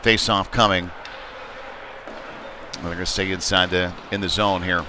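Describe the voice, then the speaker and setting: A man's voice: low voice, measured pace. A man doing live play-by-play commentary of an ice hockey game in a casual, unpolished, conversational way. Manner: excited calls when play heats up. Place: indoor ice rink. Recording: noisy live rink stream, clear background noise. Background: rink ambience and noise.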